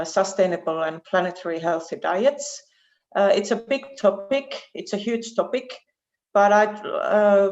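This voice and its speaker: Halting Finnish woman, low-pitched, dull audio. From Finland. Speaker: research professor presenting at a webinar. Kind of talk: academic presentation. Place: online video call. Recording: dull, muffled online call audio.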